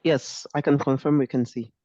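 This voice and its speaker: A man moderating an online event, introducing a guest speaker in a halting way with many fillers over a video call. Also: mid-range voice, fairly even intonation, warm tone, no echo. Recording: dull dry call audio.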